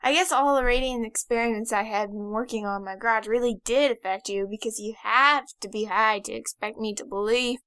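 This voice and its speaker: A woman's voice: fairly high pitch, a little fast, hardly any pauses, fairly bright tone, clear, resonant tone, no room sound. A woman playing a skeptical, wisecracking young boy sidekick in an audio drama, sarcastic and incredulous. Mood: skeptical teasing.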